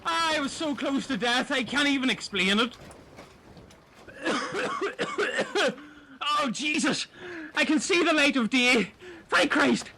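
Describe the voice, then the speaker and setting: A man's voice: extremely high pitch, lively intonation, slow, noisy background, exaggerated voice. A man playing a melodramatic, foolish castaway in an improvised comedy audio play, wailing and swearing theatrically. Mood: dazed relief. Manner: wailing, theatrical, profane exclamations.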